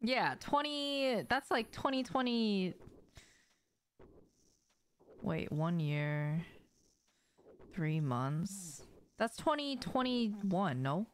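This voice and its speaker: A woman's voice: mid-range voice, big pitch swings, very slow, pauses often, smooth. A young adult woman chatting casually on a livestream while gaming, speaking in short, informal, slangy phrases. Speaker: game streamer. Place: small indoor room at home.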